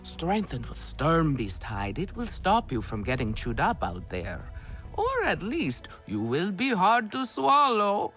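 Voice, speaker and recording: Flamboyant, bright-voiced alien clan elder. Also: male voice, high voice, huge pitch swings, takes it slowly, heavily acted. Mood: encouraging. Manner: theatrical, effusive. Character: flamboyant, doting male alien clan elder who loves fine silk. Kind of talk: video game cutscene acting. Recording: music behind the voice.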